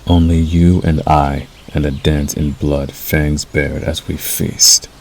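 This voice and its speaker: Casting: male actor as possessive werewolf suitor for ASMR roleplay; extremely low, gravelly growl, seductive menace. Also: flat delivery, darkish tone, full character voice. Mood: commanding, possessive. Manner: slow, deliberate, coaxing yet threatening. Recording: faint background noise.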